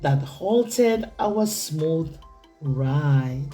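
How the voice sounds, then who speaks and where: A woman reading a children's picture book aloud in an expressive, theatrical way, with deliberate phrasing for young listeners. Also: low voice, soft background music. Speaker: children's storytime reader.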